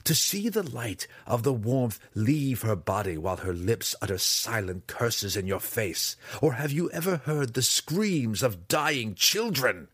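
A man voicing a fierce, derisive dark-elf weapons master, in a husky, raised voice. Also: lowish voice, sing-song, a little slow, no echo, clean studio sound, voice pushed up, full character voice. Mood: taunting. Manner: growling, derisive. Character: fierce taunting male drow weapons master.

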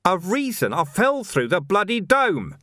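Man voicing a gruff, indignant watch commander. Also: on the high side, sing-song, moderate pace, fairly bright tone, no echo, studio quality, pitched above the performer's own voice. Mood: exasperated. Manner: blunt, indignant, demanding. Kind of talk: audiobook character dialogue.

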